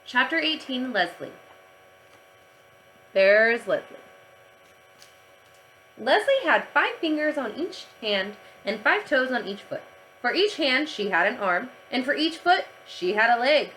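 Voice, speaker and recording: A woman reads a children's story aloud in a bright, fairly high voice. Her teacherly narration is highly animated. The recording carries a little noise. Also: a little slow, pauses often, low-quality recording. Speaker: teacher reading aloud to pupils.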